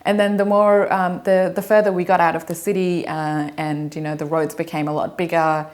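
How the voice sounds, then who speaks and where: A young woman from Afghanistan, in her twenties, tells her own story in an easy, casual way. Her voice sits a little low, and she talks with plenty of ums and likes and the odd soft laugh. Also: level tone, moderate pace. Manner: chatty, hesitant, many ums and likes, laughs lightly.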